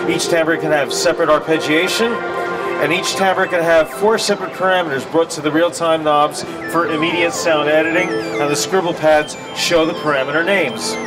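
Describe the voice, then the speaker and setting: A man's voice: fairly high pitch, rough recording. A middle-aged man giving a product demonstration at a trade show booth over a headset mic. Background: synthesizer sounds.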